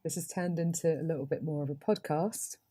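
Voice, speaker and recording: A woman with a Received Pronunciation English accent hosting a podcast, talking casually and reading aloud in a flat, even way with frequent ums. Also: low-pitched, fairly quick. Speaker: podcast host.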